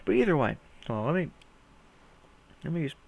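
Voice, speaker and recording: A man's voice: on the high side, sing-song, brisk, creaky, muffled recording. A man with a nasal voice rambling casually and playfully to his viewers, swearing now and then. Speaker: streamer talking to viewers. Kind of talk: casual rambling chat to camera.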